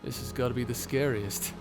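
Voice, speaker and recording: A man's voice: on the high side, full character voice. A man playing a flirty, self-deprecating space pirate captain in an intimate audio roleplay, with a hesitant, nervously laughing manner. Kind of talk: intimate ASMR roleplay acting. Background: music.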